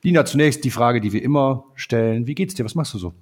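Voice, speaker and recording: A male podcast host with a husky, slightly hoarse voice and a blocked nose from a cold. He talks casually, with plenty of filler sounds, and steers a relaxed interview. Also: medium pitch, quick pace. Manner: chatty, lots of filler sounds.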